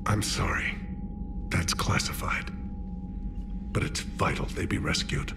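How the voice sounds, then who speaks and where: An actor playing a dignified male alien military leader who speaks in a formal, measured, guarded way. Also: background noise, lightly acted. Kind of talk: video game voice acting.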